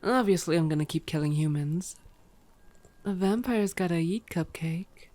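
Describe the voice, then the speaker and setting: A woman's voice: on the low side, moderate pace, dark tone, lightly acted. A woman playing a sardonic, teasing, bloodthirsty female vampire in an ASMR roleplay, drawing out words and sometimes sinking toward a whisper. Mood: defiant, taunting. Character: teasing, unrepentant bloodthirsty female vampire, old yet youthful-sounding.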